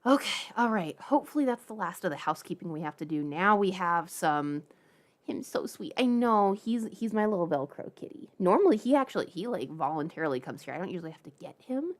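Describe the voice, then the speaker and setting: Lower-pitched woman, playful casual streamer. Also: sing-song, brisk. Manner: playful, informal, chatty asides. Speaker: livestream host. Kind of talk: casual livestream chat.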